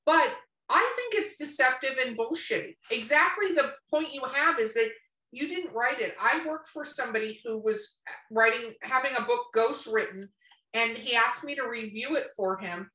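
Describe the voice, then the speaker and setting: A woman's voice: a little high, animated, normal speed, bright, forceful tone. A woman in a casual podcast chat, talking bluntly and emphatically and swearing freely. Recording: roomy echo, distant from mic.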